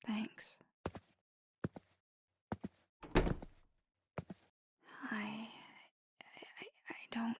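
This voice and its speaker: A woman playing a nervous, tender vampire girlfriend in an intimate ASMR roleplay, whispering breathily and haltingly with earnest devotion. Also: medium pitch, gravelly, slightly rough, no echo, studio quality, exaggerated voice. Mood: nervous, apologetic. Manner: hesitant, halting, earnest delivery.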